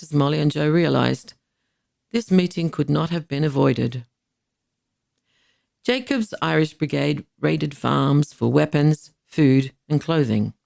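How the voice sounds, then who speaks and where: A woman's voice: rumbling low, gravelly, a little mellow, no room sound, clean studio sound. A woman narrating a scripted, dramatised history podcast as a storyteller.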